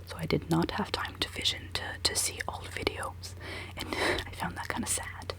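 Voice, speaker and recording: A woman's voice: moderate pace, low-quality recording. A woman in her twenties whispering a rambling, giggly ASMR chat while she does her makeup. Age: 20s. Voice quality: decently low voice. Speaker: ASMR creator.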